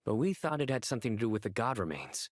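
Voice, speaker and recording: An earnest game character with a flair for theatrical jokes, played by a man. His medium-pitched voice is measured and formal yet lively in intonation, over faint noise. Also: lightly acted. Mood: composed. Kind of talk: video game voice acting.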